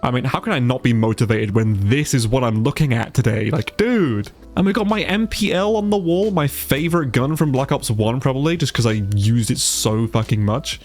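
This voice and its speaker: Male let's play YouTuber, bunged up with a cold, lively melodic delivery, loose, profane and readily impressed, light music underneath. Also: mid-range voice, normal speed, hardly any pauses. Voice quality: congested, stuffy-nosed. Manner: casual, sweary, easily amazed. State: sick with a stuffy nose. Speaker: gaming YouTuber, player. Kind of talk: casual let's play commentary.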